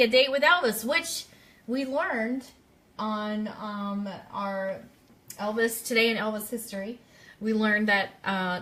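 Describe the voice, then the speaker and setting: A woman with a bright, forward voice hosts a live radio stream. She talks in a chatty, casual way, putting questions straight to her listeners as a quiz host. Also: mid-range voice. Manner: chatty, interactive, addresses listeners directly.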